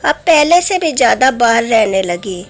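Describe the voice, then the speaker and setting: A woman's voice: a little high, very animated, moderate pace. A woman narrating a scripted fairy tale for children. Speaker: storyteller.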